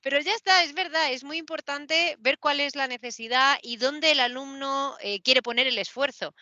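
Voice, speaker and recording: Bright, high-voiced woman teacher. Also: no echo, dull audio. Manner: chatty and lively, quick to agree and laugh. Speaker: language teacher and host. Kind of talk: casual interview.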